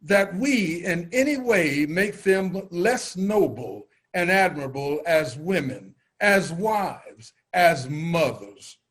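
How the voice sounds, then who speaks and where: A man's voice: a little high, very animated, slow, few pauses, fairly bright tone, heavily acted. A man reciting historical quotations in a reader's theater production.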